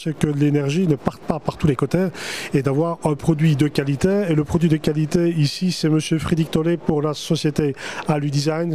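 A man's voice: fairly high pitch, dark tone. A middle-aged man, hosting chatty promotional interviews at a trade show, speaking into a handheld microphone. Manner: chatty, salesman-like presenter. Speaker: trade-fair TV host. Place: exhibition hall stands.

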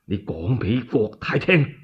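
A man voicing a loyal, vigilant warrior general in a Cantonese radio story, speaking gravely and with measure. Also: mid-range voice, very animated, unhurried, pitched below the performer's own voice, full character voice. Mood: urgent, hushed. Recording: dull lo-fi radio recording.

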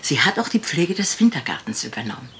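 A frail, well-bred older lady in a radio mystery. Her voice sits very low for a woman, and she talks in a hesitant, wistful, rambling way over a faint rumble. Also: very animated, quick pace, vocal fry, fairly bright tone, full character voice. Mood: gentle, rambling. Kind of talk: German radio crime drama acting.

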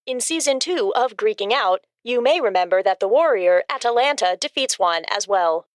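A woman reading scripted informational asides as a co-host, matter-of-fact, measured and deadpan. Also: fairly high pitch, slight background noise.

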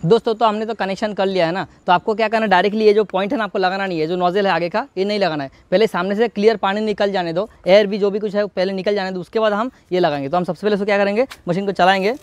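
Young male product reviewer, rapid patter, higher voice, casual and chatty, filmed outdoors on a camera. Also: steady tone, no room sound. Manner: chatty, talks straight to viewers, keeps checking in with "hai na". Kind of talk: casual unboxing demo. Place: outdoor courtyard garden.

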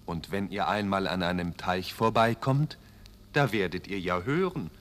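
A man narrating a children's fairy tale in an expressive scripted style, with deliberate, dramatic phrasing. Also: sits low.

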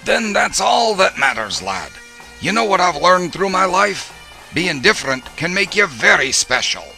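A man voicing a kindly older mail carrier with a Scottish accent and a gravelly, avuncular way of talking in a children's show. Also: sits high, sing-song, moderate pace, sharp tone, faint music behind, full character voice, rough recording. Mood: reassuring. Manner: folksy, avuncular.

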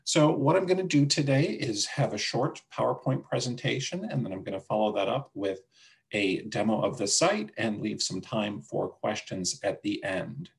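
A man's voice: fairly low pitch, moderate pace, creaky, low-quality recording. A man presenting a product demo with slides as a company representative over a video call. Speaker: senior account manager presenting a product demo. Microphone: video call microphone.

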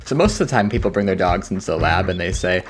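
Deep voiced guy, scientist explaining research in interview, thoughtful, faint music bed. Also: lively intonation, quick pace.

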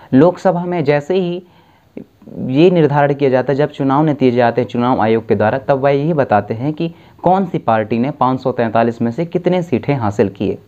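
Young man teaching, mellow dull-toned voice. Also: mid-range voice. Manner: explains slowly with self-corrections and restarts. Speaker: civics tutor. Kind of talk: exam-prep lecture to camera. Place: dim indoor room, seated at a desk.

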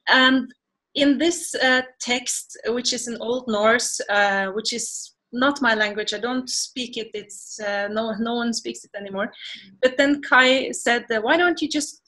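Bright, animated Norwegian woman on a video call. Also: on the high side, measured pace. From Norway. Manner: animated, gestures a lot, laughs while talking. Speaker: guest singer. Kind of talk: casual interview answers. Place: home room full of plants, indoors. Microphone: video call webcam.

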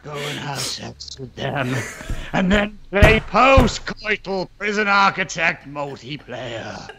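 A booming, gravelly movie-trailer announcer, as a man puts on a deep voice for a joke. The delivery is drawn out, grandiose and wildly swooping, leaping high in pitch on some words and dropping low on others. The voice carries a rough fry throughout. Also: sing-song, background noise, exaggerated voice. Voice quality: gravelly, deliberately deepened, swooping and over-the-top.

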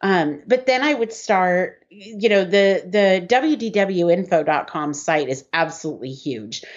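A woman's voice: fairly low pitch, animated, a little slow. A middle-aged woman chatting casually over a video call from home, rambling with lots of fillers. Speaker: website content writer. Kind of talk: casual chat. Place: home room. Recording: muffled video call audio.

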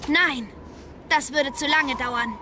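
A woman's voice: high voice, slow, noisy background. A woman voicing a brave, kind young boy hero in a German cartoon dub. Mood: determined.

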